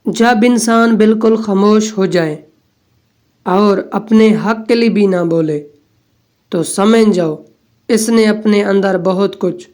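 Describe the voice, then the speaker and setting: A woman reading out scripted quotations as a narrator, in measured, deliberate phrases with pauses. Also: medium pitch, a little mellow. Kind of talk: scripted recitation of Urdu quotes.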